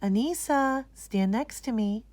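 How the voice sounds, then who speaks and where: A woman playing a patient, gently correcting mother in a children's storybook, explaining and instructing. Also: fairly high pitch, measured pace, dry recording, pitched above the performer's own voice, natural voice.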